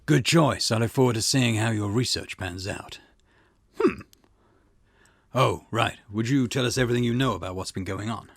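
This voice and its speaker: A man voicing a seasoned, brusque and wry detective boss in a visual novel, with a gravelly, measured delivery. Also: fairly low pitch, dry recording, clean studio sound. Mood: businesslike. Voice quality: gravelly, husky. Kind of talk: reading visual novel dialogue in character.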